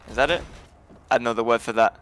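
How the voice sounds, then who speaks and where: A man from England rattles through play-by-play at high speed, his pitch sitting mid-range. Between rounds he eases into casual chat with his co-caster. A little noise and clicking sits faintly behind. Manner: rapid, steady play-by-play calling. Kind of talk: live game commentary.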